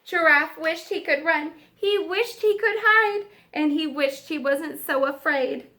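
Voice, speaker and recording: A woman teacher reading a story aloud to young children, expressive and pausing to explain and ask them questions. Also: high voice. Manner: expressive, asks listeners questions. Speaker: kindergarten teacher.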